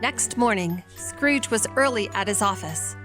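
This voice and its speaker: A woman narrating a classic story in a deliberate, dramatic storytelling manner. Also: medium pitch, lively intonation, over music.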